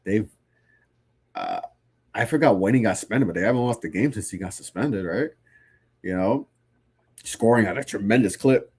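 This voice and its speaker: A man in his thirties with a somewhat low voice hosts a relaxed live-stream sports show. He sounds stuffy from a cold. He talks loosely, answering his chat and often restarting his sentences. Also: moderate pace, long pauses, slightly rough. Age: 30s. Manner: loose and conversational, frequent restarts. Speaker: podcast host.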